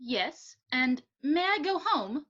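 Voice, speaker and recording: Woman as a high-voiced, cool secretary. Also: very animated, slow pace, a bit bright, dull audio, full character voice. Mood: guarded. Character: cool, assertive female secretary in a murder mystery.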